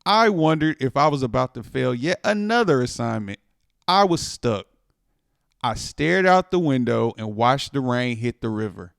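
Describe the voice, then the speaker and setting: A man reading a children's picture book aloud in an expressive way, stretching words for dramatic emphasis. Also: medium pitch, moderate pace, gravelly, no echo, clean studio sound. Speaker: storytime reader.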